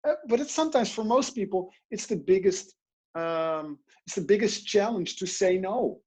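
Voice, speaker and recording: A man's voice: high-pitched, moderate pace, slightly rough. A man from the Netherlands with a Dutch accent, a coach talking in an online interview and acting out inner voices as he tells stories. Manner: animated, storytelling with quoted inner voices. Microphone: video call.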